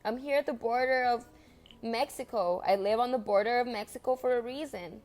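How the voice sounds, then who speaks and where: A woman's voice: fairly high pitch, fairly quick, a little mellow. Earnest woman, Spanish first language. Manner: earnest, repetitive, emphatic. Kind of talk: impassioned personal monologue.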